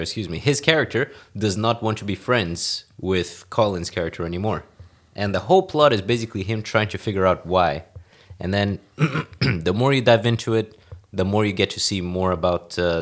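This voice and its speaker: A man's voice: lowish voice, fairly bright tone. A man hosting a casual film podcast, talking enthusiastically and swearing casually. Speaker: podcast host.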